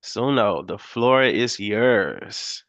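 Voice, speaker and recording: A man's voice: fairly low pitch, animated, drawn-out words, fairly bright tone, no room sound. A man hosting a live stream, casually quizzing the chat. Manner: casual, addresses chat with quiz questions. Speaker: host.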